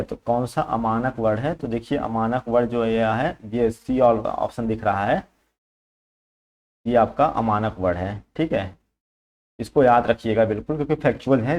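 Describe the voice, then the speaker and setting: A man's voice: mid-range voice, normal speed, a little mellow, lo-fi sound. A man working through exam questions as a coaching teacher, explaining informally, repeating points and mixing in English terms.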